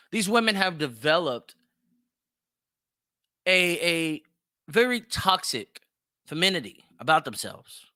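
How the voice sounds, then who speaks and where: A man's voice: fairly high pitch, pushed and bright, dry recording, clean studio sound. A man hosting a solo opinion show, speaking bluntly and profanely with mocking, emphatic repetition. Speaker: podcast host.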